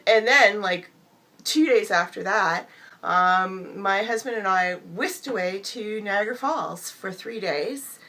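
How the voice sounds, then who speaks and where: Middle-aged woman vlogger, hoarse from a cold, gravelly low voice, upbeat chatty delivery. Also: a bit bright. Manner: chatty, upbeat, many fillers. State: has a cold, losing her voice, gravelly and whispering. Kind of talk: casual chat to camera.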